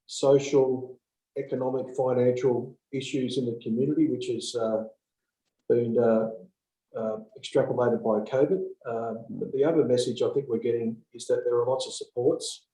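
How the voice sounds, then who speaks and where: A man in his fifties, a police officer, speaking haltingly with many fillers on a video call from an office. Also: medium pitch, fairly even intonation, warm tone. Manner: halting, many ums and uhs. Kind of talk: online panel discussion. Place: office with blinds. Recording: roomy laptop audio.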